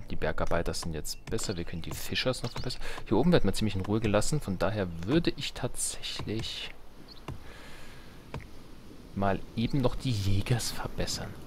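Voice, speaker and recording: A man giving casual, jokey let's play commentary on a strategy game, muttering to himself and to the game's characters. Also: on the low side. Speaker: let's player, host. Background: game music and sounds.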